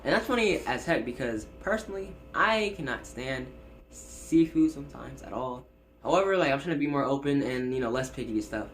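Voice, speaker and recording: A man's voice: mid-range voice, expressive, brisk, fairly bright tone, a little hiss or hum. A seventeen-year-old guy who stutters, chatting casually on his vlog from his bedroom, rambling with lots of fillers and restarts, recorded on a phone. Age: teens. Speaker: YouTuber. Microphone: phone set up in room.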